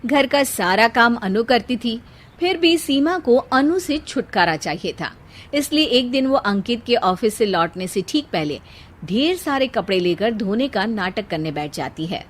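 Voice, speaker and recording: A woman's voice: fairly high pitch, animated, unhurried. A woman narrating a scripted moral story as a storyteller.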